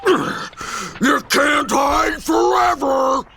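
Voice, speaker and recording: A man's voice: very high voice, drawn-out words, vocal fry, shouting, noisy background, exaggerated voice. A man voicing a blustering, bullying pig henchman with taunting street-tough talk in a table read. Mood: menacing taunts. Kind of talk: live table read acting.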